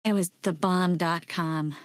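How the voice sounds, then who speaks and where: Opinionated thirties woman guest, slightly low pitch, dry close sound. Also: steady tone, unhurried, no echo. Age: 30s. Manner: emphatic, opinionated. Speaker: podcast guest. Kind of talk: casual chat.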